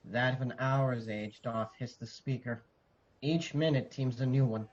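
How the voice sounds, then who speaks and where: A man playing a sorrowful noble messenger in a Shakespearean radio drama, delivering solemn, measured verse. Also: mid-range voice, fairly even intonation, lightly acted. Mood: mournful. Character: Ross, sorrowful noble messenger bearing dreadful news. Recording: slightly dull, muffled audio.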